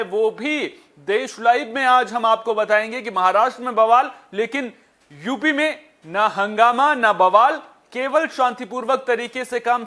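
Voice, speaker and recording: A male news anchor with a strikingly high voice delivers headlines live. He is emphatic, restating his points and phrasing them rhetorically as he moves through the bulletin. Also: sharp tone. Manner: emphatic, repetitive, rhetorical questions.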